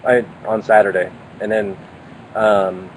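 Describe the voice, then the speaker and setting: A man's voice: lowish voice, level tone, takes it slowly, a little hiss or hum, low-quality recording. A man co-hosting, chatting and joking about sailing plans outdoors. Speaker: co-host sailor. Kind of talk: casual chat.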